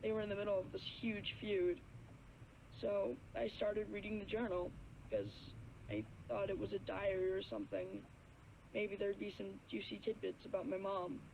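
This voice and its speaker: A woman's voice: medium pitch, moderate pace, creaky, natural voice. A woman voicing an earnest young radio call-in caller, telling a story hesitantly over the phone. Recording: tinny phone line with faint noise.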